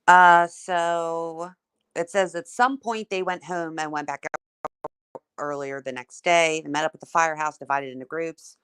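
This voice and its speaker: A woman co-hosting a casual chat, reading a summary aloud in a steady, plain way. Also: deep voice, measured pace, clear, resonant tone. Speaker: co-host recapping trial notes.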